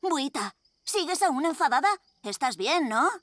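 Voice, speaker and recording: An actor playing a brave, energetic young boy superhero in a children's cartoon dub, exclamatory and dramatic. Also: sits high, unhurried, no room sound. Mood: concerned, reproachful. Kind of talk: animated children's cartoon dubbing. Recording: loud music behind the voice. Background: loud cartoon music.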